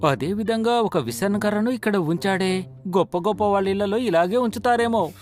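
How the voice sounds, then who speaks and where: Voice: mid-range voice, normal speed, darkish tone, natural voice. A voice actor playing a mild, simple-minded elder brother and henpecked husband in a dubbed cartoon, hesitant and mumbling. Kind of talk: cartoon dubbing. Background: background music.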